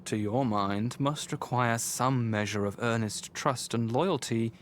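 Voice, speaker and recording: A man acting as a slow, solemn fantasy narrator. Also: medium pitch, slight background noise, close to an everyday voice. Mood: solemn, measured. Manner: measured, deliberate, formal. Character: solemn storybook narrator of a gothic fantasy game. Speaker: narrator of a visual novel. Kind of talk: scripted narration, second person.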